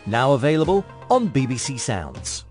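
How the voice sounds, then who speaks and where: A man's voice: mid-range voice, big pitch swings, normal speed, a little mellow, faint music behind. A man reading a promotional radio drama trailer. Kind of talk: promotional scripted read.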